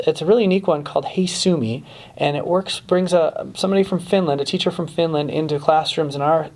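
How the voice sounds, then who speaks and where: A man's voice: on the high side, a little mellow. A man hosting a weekly interview series, chatty and casual, with lots of fillers and restarts. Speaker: host.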